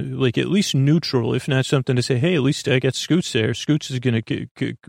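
A man's voice: medium pitch, creaky, no echo, studio quality. A man hosting a podcast, drifting through a rambling improvised bedtime monologue full of fillers, restarts and meandering asides. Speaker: podcast host. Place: small studio.

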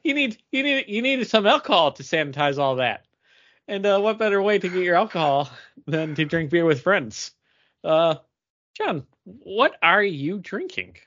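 A man's voice: high-pitched, big pitch swings, moderate pace, fairly bright tone, highs cut off. A man co-hosting a podcast in casual chat with friends, rambling and correcting himself as he goes. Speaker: podcast co-host.

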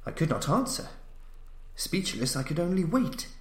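A man's voice: on the high side, sing-song, normal speed. A man reading a gothic horror story as a dramatic audiobook narrator, solemn and suspenseful. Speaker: narrator, podcast reader. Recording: roomy, echoing voice recording.

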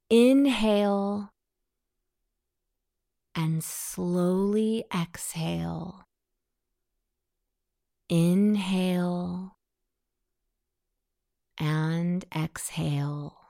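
A woman leading a guided self-hypnosis meditation in a soothing, gentle, measured way. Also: lowish voice, vocal fry, no echo, studio quality.

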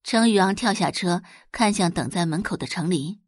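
A woman reading scripted fiction narration as an audiobook narrator, in an expressive storytelling style. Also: fairly low pitch, moderate pace, no echo, studio quality.

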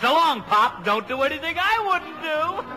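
A man's voice: very high-pitched, animated, moderate pace, bright, forceful tone, soft background music, exaggerated voice. A man playing a brash, cheeky American teenage boy full of slang in a 1950s radio comedy. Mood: cocky.

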